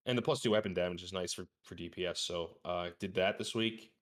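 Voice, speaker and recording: A man's voice: sits low, a little fast, a bit bright. A man talking casually as a guest on a gaming podcast, using informal gamer slang.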